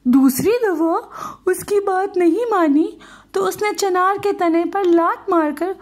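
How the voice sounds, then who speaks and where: A woman's voice: extremely high pitch, pitched above the performer's own voice. A woman voicing a gullible, timid father owl in a children's folk tale, with a plaintive manner.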